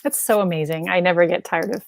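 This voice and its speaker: A woman's voice: lowish voice, steady tone, quick pace, gravelly. A woman with a Canadian accent speaks in a dry, echo-free sound. She is an effusive teacher and co-host, introducing things casually and off the cuff. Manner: effusive, enthusiastic. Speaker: kindergarten teacher and co-author co-hosting.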